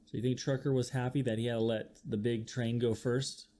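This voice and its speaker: A teacher reading a picture book aloud to young listeners, expressively and with chatty asides. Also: medium pitch. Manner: slow, expressive, with acted-out sound effects. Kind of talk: children's story read-aloud with asides to listeners.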